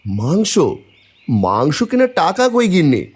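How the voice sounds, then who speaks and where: A man's voice: a little high, wildly swooping pitch, measured pace, a little mellow, breathy edge, exaggerated voice. A man voicing a poor, wistful village taro seller and put-upon husband in a cartoon story, plaintive and pleading. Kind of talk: Bengali cartoon story voice acting.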